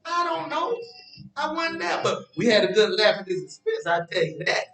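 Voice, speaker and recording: An actor in a stage play as a teasing, boastful ladies' man who spins his tale with drawn-out drama and stammering hesitations. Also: high-pitched, very animated, vocal fry, pushed and bright, exaggerated voice. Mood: gleeful storytelling. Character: teasing, evasive storyteller named Henry, boastful ladies' man. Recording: roomy, distant stage recording with music.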